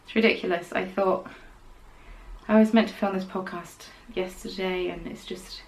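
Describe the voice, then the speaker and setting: A young woman hosting a podcast, chatting casually about her knitting in a rambling way and dropping into quiet, whispered asides. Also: fairly low pitch, fairly even intonation, normal speed, slight background noise, low-quality recording. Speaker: podcast host.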